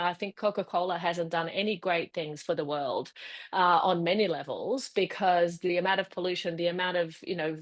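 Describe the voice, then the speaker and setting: A middle-aged woman from Brazil with an Australian accent, a fertility specialist answering at length in a podcast interview, explaining thoughtfully with some restarts. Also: fairly low pitch.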